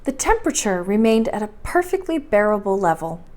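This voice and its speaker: A woman reading a story aloud in expressive, scripted narration. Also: medium pitch, a little fast.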